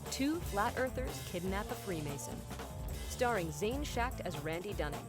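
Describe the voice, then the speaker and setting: A woman's voice: medium pitch, very animated, normal speed. A woman reading scripted narration for a comedy podcast trailer. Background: loud music.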